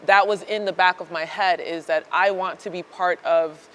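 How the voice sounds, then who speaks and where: A woman's voice: on the low side, steady tone, normal speed. A woman speaks earnestly and thoughtfully. Her words come with fillers and restarts. A faint hiss sits under the voice. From United States (Chicago area). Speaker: startup founder, guest. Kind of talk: interview answers.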